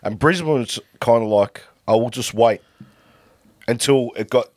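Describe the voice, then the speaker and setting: A man co-hosting a sports podcast, a bit nasal from a cold, talking in a rambling, relaxed way. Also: mid-range voice, normal speed, dry recording, studio quality. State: stuffy nose from a cold. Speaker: podcast co-host. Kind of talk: casual sports chat.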